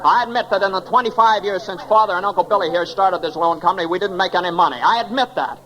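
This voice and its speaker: An actor playing an earnest young small-town man in a 1940s radio drama, folksy and halting, passionate and easily flustered. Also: sits high, pushed and bright, lo-fi sound. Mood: defiant, impassioned. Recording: old 1940s radio recording, faint noise.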